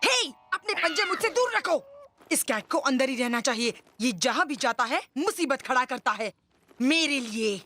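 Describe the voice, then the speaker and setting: A woman's voice: high voice, very animated, moderate pace, pushed and bright, soft background music, exaggerated voice. A woman voicing a wary, put-upon young schoolboy in a cartoon dub, grumbling and urgent. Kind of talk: Hindi cartoon dubbing.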